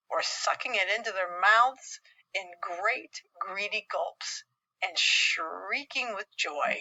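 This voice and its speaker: An American woman reading a children's storybook expressively, with dramatic, deliberate phrasing and emphatic pauses. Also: medium pitch, bright, forceful tone, muffled recording. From United States. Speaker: audiobook reader.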